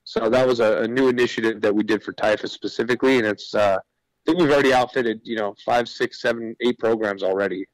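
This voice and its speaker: A man's voice: lowish voice, fast talker, dry recording, poor audio. A man speaking as a guest in a radio interview, explaining his business in an earnest, informal way. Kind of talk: conversational radio interview pitch.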